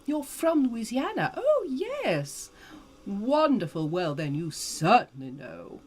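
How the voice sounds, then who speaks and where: A woman's voice: a little high, wildly swooping pitch, slow, a little mellow, husky, voice pushed up, exaggerated voice. A woman playing a genteel, ageless Southern lady more than a century old, chatting theatrically and effusively in an old-fashioned American Southern accent. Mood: delighted, chatty. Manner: posh, theatrical, drawling, effusive. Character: genteel ageless Southern woman over 150, playfully flirtatious. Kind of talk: in-character live-stream chat and storytelling.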